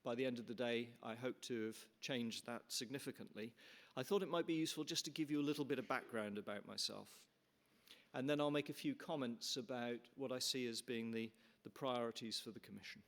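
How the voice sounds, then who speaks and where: A man's voice: medium pitch, fairly quick. A middle-aged man from Canada, the chair, giving an earnest formal welcome speech in a conference hall with frequent restarts and fillers.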